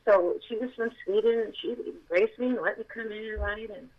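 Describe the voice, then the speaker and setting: A woman's voice: normal speed, phone-quality sound. Childlike-voiced woman telling a story by phone. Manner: excitable, reenacts dialogue with big pitch jumps. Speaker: guest. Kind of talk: animated storytelling in interview.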